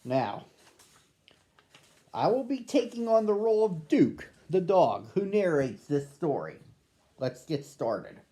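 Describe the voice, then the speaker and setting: A man introduces a picture book to children, speaking slowly and chatting with them directly. His voice sits a little high, and he asks them simple questions before he starts reading. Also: very animated, dark tone. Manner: friendly, addresses children directly. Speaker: storybook reader.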